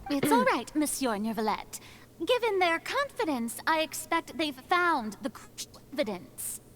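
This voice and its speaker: A woman playing a poised, resolute young investigator in a video game, speaking in a measured, formal way. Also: high-pitched, very animated, a bit bright, a little hiss or hum, full character voice. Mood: composed, assertive. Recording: game music behind.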